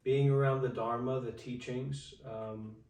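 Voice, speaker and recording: A man in his twenties, telling his life story as an interview guest in a halting way, with many fillers and restarts. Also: mid-range voice, fairly even intonation, a little mellow. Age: 20s. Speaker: interview guest, Buddhist monk. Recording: echoey room, far from the mic.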